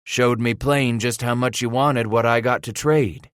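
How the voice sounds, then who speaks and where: A man's voice: medium pitch, fairly even intonation, a little slow, clean tone, no echo, clean studio sound, lightly acted. A man voicing a big, hot-tempered frontier trapper in an audiobook, growling in rustic dialect. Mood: sly, gruff bargaining.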